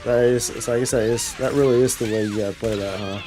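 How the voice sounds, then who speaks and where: A man's voice: mid-range voice, fairly even intonation, fast talker, noisy background. A man livestreaming an action game with casual, slangy commentary, thinking aloud as he plays. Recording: game music behind the voice. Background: game music.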